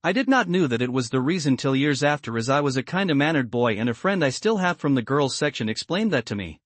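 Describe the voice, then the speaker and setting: A man narrating an online post as a story in a steady, even read-aloud manner. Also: medium pitch, fast, dry recording.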